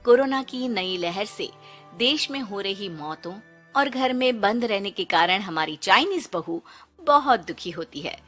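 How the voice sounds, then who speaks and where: A woman's voice: medium pitch, animated, unhurried, fairly bright tone, faint music behind. A woman narrator reading a scripted moral story for a cartoon.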